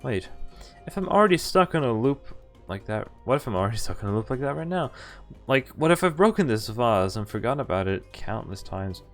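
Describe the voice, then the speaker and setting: A man's voice: mid-range voice, big pitch swings, brisk. A young man doing a live let's play, reading game text aloud with informal commentary and the odd stumble. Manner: informal, stumbles and rereads while sight-reading. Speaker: game streamer. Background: faint game music.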